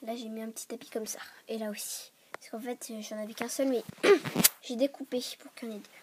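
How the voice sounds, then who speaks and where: Stuffy-voiced woman vlogging, hurried. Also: fairly high pitch, fairly even intonation. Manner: hurried, rambling, often drops to a whisper. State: stuffy voice from a cold. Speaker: vlogger showing a toy dollhouse. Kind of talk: casual show-and-tell vlog. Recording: clear background noise and clicks.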